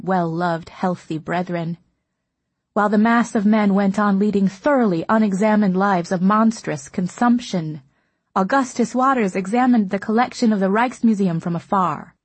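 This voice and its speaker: A woman narrating a novel in the first person, reading softly and intimately at a measured pace. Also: mid-range voice, husky, no room sound. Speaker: audiobook narrator.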